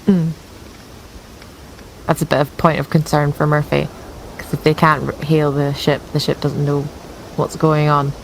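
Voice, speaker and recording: A woman's voice: low-pitched, little pitch movement, a little slow, creaky, slight background noise, poor audio. A woman speaking as a player in a tabletop game, slipping casually into in-character roleplay.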